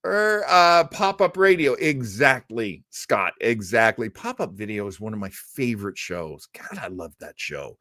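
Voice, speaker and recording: Man, live-stream host, casual chat, informal rambling asides, expressive pitch swings, dry sound. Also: a little slow, hardly any pauses, dry recording. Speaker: host of a live-streamed radio nostalgia show. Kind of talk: casual chat, reading out and answering live viewer comments.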